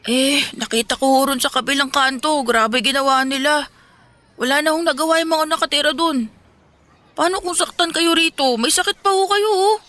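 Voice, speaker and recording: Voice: high-pitched, normal speed, sharp tone. A performer voicing a poor young boy, an anxious and devoted son, in Filipino radio drama style, with pleading, respectful delivery. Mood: worried, tender. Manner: pleading, respectful toward his father.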